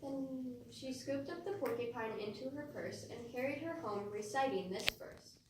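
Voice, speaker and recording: A woman's voice: fairly high pitch, moderate pace. A teenage girl narrating a rhyming story in a readers theater performance. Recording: echoey hall, distant mic, faint noise.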